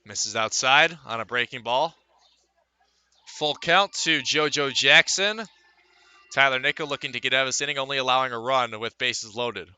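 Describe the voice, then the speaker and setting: A man's voice: mid-range voice, normal speed, sharp tone, clear, resonant tone. A man calling a baseball game as a live radio play-by-play announcer.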